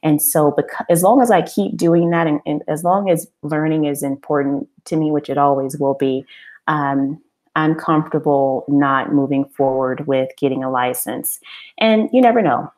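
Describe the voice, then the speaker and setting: A woman's voice: deep voice, normal speed, a little mellow. A woman hosting an informal business webinar, talking in an encouraging, conversational way and posing rhetorical questions. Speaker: webinar host and business coach.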